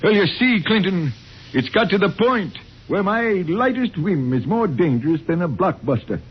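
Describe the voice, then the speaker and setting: An actor playing an anxious, idealistic professor in an old radio drama, speaking in formal, earnest, fussy phrases. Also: male voice, sits high, very animated, normal speed, breathy edge, full character voice. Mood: apologetic, troubled. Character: anxious, idealistic professor with frightening psychic powers. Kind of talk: 1950s radio drama acting. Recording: noisy, muffled old radio recording.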